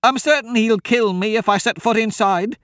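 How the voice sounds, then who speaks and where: A man's voice: sits high, moderate pace, fairly bright tone, clear, resonant tone, no echo, studio quality, pitched above the performer's own voice. A man voicing a frightened old farm woman in an audiobook, with urgent, folksy, rustic talk. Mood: anxious.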